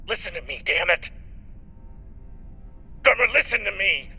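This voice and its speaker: An actor playing a desperate, scheming, foul-mouthed scavenger captain in a video game, insistent and pleading. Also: male voice, high voice, expressive, unhurried, sharp tone, husky, exaggerated voice. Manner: insistent, pleading, self-justifying. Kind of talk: dramatic video game acting. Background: music.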